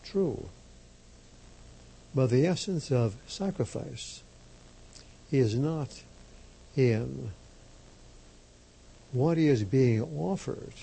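Slow, gravelly-voiced priest preaching. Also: male voice, mid-range voice, expressive, mellow, low-quality recording. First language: Slovak. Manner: emphatic, measured, repeats key phrases. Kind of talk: slow deliberate sermon.

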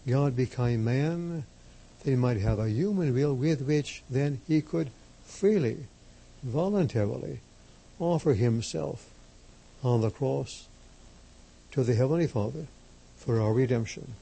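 Slow, gravelly-voiced priest preaching. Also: male voice, mid-range voice, warm tone, low-quality recording. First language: Slovak. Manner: emphatic, measured, repeats key phrases. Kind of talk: slow deliberate sermon.

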